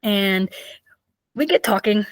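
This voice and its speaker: A woman in her thirties with a raspy voice, hosting a podcast and chatting casually with lots of fillers. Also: medium pitch, animated, takes it slowly, no room sound. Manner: chatty, rambling, with many fillers. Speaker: podcast host. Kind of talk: casual solo storytelling.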